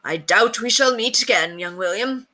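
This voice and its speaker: A woman's voice: on the high side, normal speed, pushed and bright, pitched above the performer's own voice. A woman voicing a noble, kindly old knight, hearty and commanding, in a storybook read-aloud. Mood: warm farewell. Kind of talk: storybook character reading.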